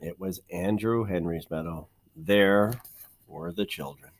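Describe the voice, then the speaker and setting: A man reading a children's picture book aloud in an expressive, measured storytelling manner, stressing key words. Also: low voice, slight background noise. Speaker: storybook reader.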